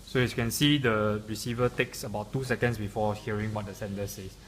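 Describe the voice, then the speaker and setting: Low-voiced man explaining a product demo. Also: fairly even intonation, a little fast. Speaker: software demonstrator. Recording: clearly noisy room recording.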